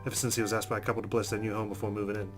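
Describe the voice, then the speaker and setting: A man's voice: on the low side, little pitch movement, rapid-fire, over loud music. A man reading a visual novel aloud as a let's play host, in a narrating style.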